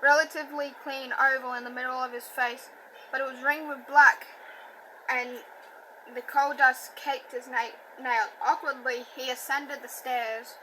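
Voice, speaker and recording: A woman reading a novel aloud, halting and self-correcting, with a stutter and a slight lisp. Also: sits high, steady tone, pushed and bright, slight background noise, rough recording. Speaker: audiobook reader. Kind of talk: amateur book reading.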